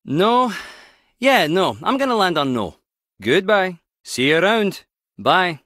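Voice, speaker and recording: An actor playing a wry, guarded skip tracer in a video game, teasing yet protective, speaking in a clipped, conversational way. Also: male voice, high voice, very animated, slow pace, fairly bright tone, dry recording, clean studio sound, exaggerated voice. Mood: casual. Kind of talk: video game character dialogue.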